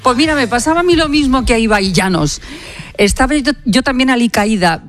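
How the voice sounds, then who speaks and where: A woman's voice: on the high side, lively intonation, a little fast, faint music behind. A woman with an accent from Spain presenting on the radio, with conversational news commentary and an ironic, emphatic delivery.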